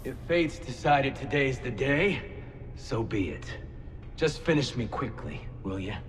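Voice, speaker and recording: An actor playing a swaggering, cynical criminal in a video game, theatrical and sardonic. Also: male voice, mid-range voice, animated, measured pace, close to an everyday voice. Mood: resigned. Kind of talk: video game villain acting. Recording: long echo, music underneath.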